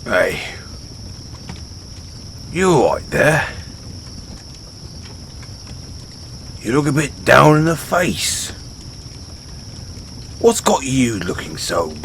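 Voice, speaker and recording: A man's voice: lowish voice, a bit bright, a little hiss or hum, full character voice. A man playing a big, brash orc barbarian in a fantasy audio roleplay, speaking colloquially straight to the listener. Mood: concerned. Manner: colloquial, halting when defensive. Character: big, brash male orc barbarian, rambunctious but sheepish. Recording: nature ambience with crickets behind. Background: outdoor night ambience, crickets.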